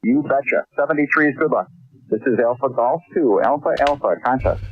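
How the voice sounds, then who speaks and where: A man's voice: medium pitch, fairly quick, dry recording. American man on tinny ham radio. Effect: ham radio transmission. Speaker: amateur radio contest operator. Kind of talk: formulaic contest exchanges in phonetics. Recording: tinny narrow phone-like shortwave signal.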